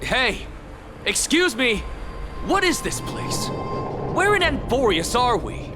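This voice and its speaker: A man's voice: very high-pitched, wildly swooping pitch, slow pace, over music, heavily acted. An actor playing a lost male traveler in a video game, urgent and insistently questioning. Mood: urgent, confused. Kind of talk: dramatic video game voice acting.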